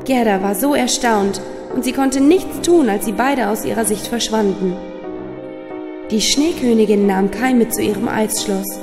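A woman's voice: fairly high pitch, normal speed. A woman storyteller reading a scripted fairy tale for children. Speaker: storyteller, narrator. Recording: long reverb, music underneath. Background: music.

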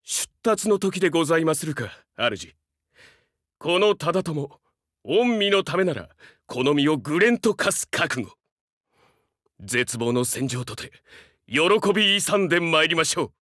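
Fervent, bright-voiced young samurai dog-man. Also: on the high side, sing-song, unhurried, no echo, clean studio sound, exaggerated voice. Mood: resolute. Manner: formal, earnest, archaic retainer's speech, dramatic delivery. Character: fervent young male dog-man samurai warrior, fiercely loyal and earnest. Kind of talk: Japanese game voice acting, archaic samurai speech.

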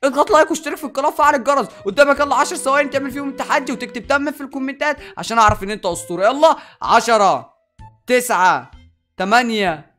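A young man with an Egyptian accent commentating excitedly on Minecraft gameplay, animated and exclamatory as he addresses viewers. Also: extremely high pitch, racing. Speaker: gaming YouTuber and host. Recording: music playing behind the voice.

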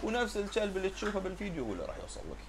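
A Kuwaiti man speaks as a guest in a conversational interview. His words come very quickly, often repeated for emphasis, in a voice a bit higher than most men's. There is faint noise behind him. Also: wildly swooping pitch. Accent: Kuwaiti Arabic. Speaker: dog breeder and importer, interview guest. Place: pet shop with glass animal enclosures. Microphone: lapel.

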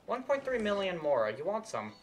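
A man's voice: high-pitched, wildly swooping pitch, brisk, very noisy. A young man giving casual live game commentary, rambling and muttering to himself. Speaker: game streamer. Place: indoor room at a desk.

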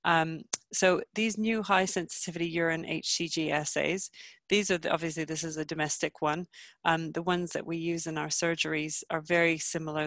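A Canadian woman in her thirties talks through a medical webinar on a headset, friendly and full of ums. Her pitch stays fairly flat. The sound is dry and close. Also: no room sound. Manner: friendly, many ums, self-deprecating. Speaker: obstetrician giving a webinar. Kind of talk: medical lecture. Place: small home room, video call.

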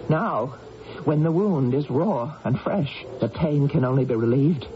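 A woman's voice: sits low, measured pace. An actress playing a proud, fiery queen of ancient Britain in a radio drama, with an imperious, theatrical delivery. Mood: bitter, insistent. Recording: dull, hissy 1980s radio recording.

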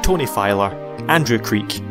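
A man reading closing credits as scripted narration in a measured voice. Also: lowish voice, lively intonation. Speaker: credits announcer. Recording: clear music underneath.

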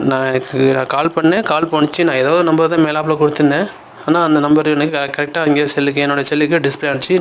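A man's voice: fairly high pitch, fairly bright tone. A man hosting an informal step-by-step app tutorial, talking through each step in colloquial speech. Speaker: YouTube tutorial host.

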